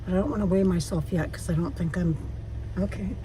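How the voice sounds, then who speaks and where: A woman with a raspy voice, chatting frankly to her viewers while driving, swearing freely. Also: on the low side, brisk, recorded from a distance. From Canada. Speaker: vlogger. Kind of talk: casual chat while driving. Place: inside a car. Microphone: phone or camera mounted in the car. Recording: car and road noise behind.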